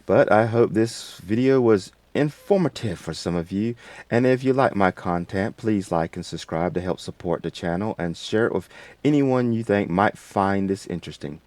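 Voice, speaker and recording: A man hosting a casual, unscripted software tutorial in a rambling, folksy way. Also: fairly low pitch, lively intonation, normal speed, no echo. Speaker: tutorial host.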